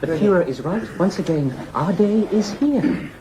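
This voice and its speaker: An actor playing a devoted, solemn, humourless disciple of a leader in a radio drama. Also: male voice, high voice, animated, normal speed, warm tone, slight background noise, low-quality recording. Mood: solemn, reverent.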